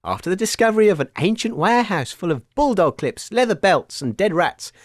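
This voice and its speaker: This is a chirpy male comedy host who talks in a high, very lively voice. He delivers scripted banter with plenty of laughter, and the sound is dry and close-miked. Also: brisk, no echo.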